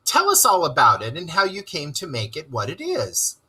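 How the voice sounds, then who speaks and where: Practical, cheery storybook rat, put on by a reader, encouraging and brisk, forceful with a slight rasp. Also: mid-range voice, wildly swooping pitch, voice pushed up, exaggerated voice. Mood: admiring, curious. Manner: brisk, upbeat, coaxing. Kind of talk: children's storybook read-aloud.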